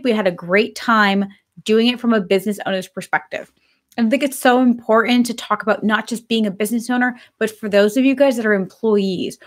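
A woman in her thirties hosting a live stream on pet grooming in a chatty, upbeat way, her voice slightly hoarse. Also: fairly high pitch. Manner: upbeat, chatty, says she is excited. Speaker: pet grooming business host. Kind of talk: casual live-stream talk. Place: home office with bookshelves, indoors.

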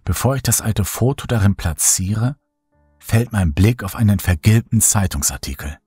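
A man narrating a horror story in first person, slow and brooding, over faint background music. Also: lowish voice, lively intonation, mellow, slightly rough, no echo. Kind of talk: scripted horror audiobook narration. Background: faint music bed.

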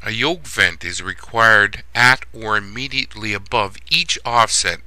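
A man giving a scripted plumbing lesson as a voice-over instructor, reading in a measured, steady way. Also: lowish voice, clean tone, dry recording, low-quality recording.

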